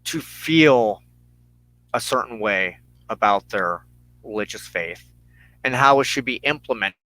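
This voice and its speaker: An American man giving an earnest personal monologue as a broadcast host, speaking in deliberate, halting phrases with emphatic pauses. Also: lowish voice, lively intonation, pushed and bright, low-quality recording. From United States. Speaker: host of a weekly religious broadcast.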